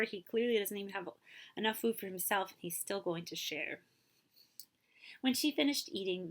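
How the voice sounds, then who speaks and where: A woman reading a children's story aloud in an expressive, animated way, as a librarian would. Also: mid-range voice. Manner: expressive, with commentary asides to listeners.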